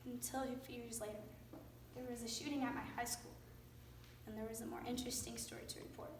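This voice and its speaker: A woman's voice: on the high side, flat delivery, quick pace, close to an everyday voice. A young woman playing a traumatized, guilt-laden teenage girl in a staged monologue. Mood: haunted. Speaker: student performer in readers theater. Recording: distant echoey stage recording, faint noise.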